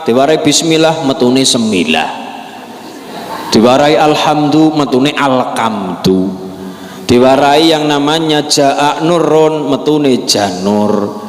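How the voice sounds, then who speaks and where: A male preacher with a Javanese accent delivers a joking sermon, slowly and with a lively, swooping pitch. He acts out short exchanges between characters. His voice rings through a large hall with long reverb. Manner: jokey storyteller, acts out dialogues with voice shifts. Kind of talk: humorous Islamic sermon.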